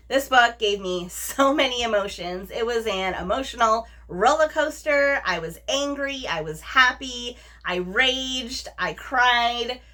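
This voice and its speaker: A woman with a fairly high, very bright voice hosts a casual review vlog. She speaks informally and effusively, leaning hard on key words and dropping in slangy asides. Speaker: book review vlogger, host. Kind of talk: casual book chat to camera.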